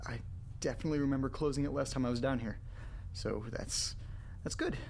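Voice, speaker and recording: An actor playing an anxious young man alone in a creepy house, talking haltingly to himself in a horror audio drama. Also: mid-range voice, vocal fry, a little mellow, slight background noise, lightly acted. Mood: nervous. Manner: halting, muttering to himself, swearing. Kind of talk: horror audio drama, first-person monologue.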